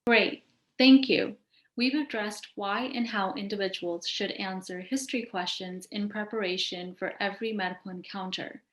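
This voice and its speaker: A woman's voice: medium pitch, fairly even intonation, normal speed. Woman hosting in an echoey room. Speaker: show host. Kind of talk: scripted interview hosting. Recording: roomy, echoing sound.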